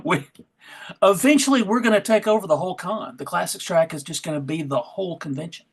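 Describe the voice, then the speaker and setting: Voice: mid-range voice, brisk, husky. Easygoing organizer chatting among friends. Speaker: panel member and co-organizer of a convention track. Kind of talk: casual group chat.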